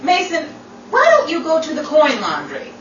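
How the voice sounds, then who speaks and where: A woman's voice: sits high, wildly swooping pitch, lo-fi sound. A woman playing a haughty, sassy Hollywood starlet with arch, theatrical 1940s radio comedy delivery. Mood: exasperated. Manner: arch, theatrical, quick-witted. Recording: roomy, distant, very noisy amateur recording.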